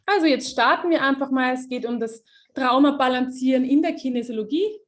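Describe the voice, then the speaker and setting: A woman with a fairly high voice hosts an online webinar and interprets a guest's talk. She speaks quickly, with plenty of fillers and restarts. Her voice comes over a video call. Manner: quick, lively, many fillers and self-corrections.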